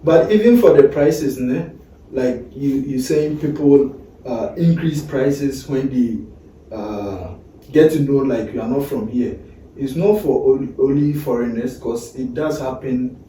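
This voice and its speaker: Dark-voiced man asking questions in a very echoey room. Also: medium pitch, a little slow. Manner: prompting, finishes the other's sentences. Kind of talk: casual interview chat. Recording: very echoey room, distant mic, faint noise.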